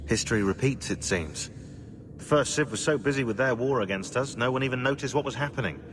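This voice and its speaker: A man's voice: medium pitch, brisk, noisy background, natural voice. A man playing a dry, sardonic British researcher in a video game, wordy and precise in his phrasing. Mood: resigned. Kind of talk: video game cutscene acting.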